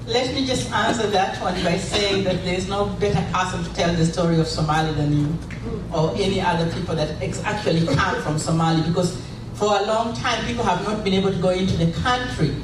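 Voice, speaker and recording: A woman's voice: on the low side, brisk. A woman answering questions from the audience as part of a conference panel. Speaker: panelist or moderator. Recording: echoey hall, distant mic, noisy.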